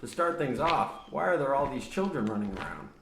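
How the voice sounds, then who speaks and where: A man's voice: medium pitch, sing-song, a little fast, fairly bright tone. Man acting a dry surveyor, roomy sound. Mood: businesslike. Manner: matter-of-fact, dry, polite. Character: businesslike young male mine surveyor, politely exasperated straight man. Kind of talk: parody of old radio drama acting. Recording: roomy echo, faint noise.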